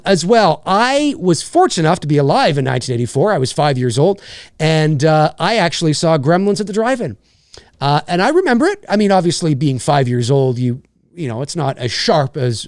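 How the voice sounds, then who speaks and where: A man in his forties from Canada hosts his own live stream, rambling excitedly as he reads out viewer messages. His fairly high voice swings widely up and down in pitch. The sound is dry and close, with no room echo. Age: 40s. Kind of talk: casual live-stream chat.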